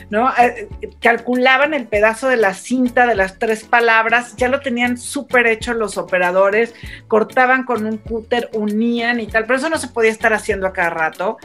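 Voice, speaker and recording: A middle-aged woman with a Mexican accent, telling stories in a casual, animated way as a radio announcer on a panel. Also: a little high. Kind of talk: casual anecdotal chat.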